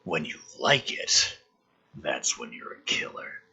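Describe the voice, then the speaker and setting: A man voicing a gruff, guarded soldier father from a film script, speaking quietly, haltingly and tersely with a husky voice. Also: very deep voice, big pitch swings, dull audio, voice pushed down, full character voice.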